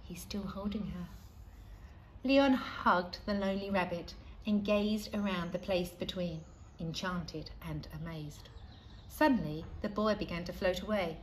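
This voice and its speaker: A middle-aged woman reading a children's storybook aloud expressively, with deliberate, dramatic pauses. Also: fairly low pitch, rough recording. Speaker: primary school staff member reading aloud. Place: outdoors in a leafy school playground. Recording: faint outdoor ambient noise.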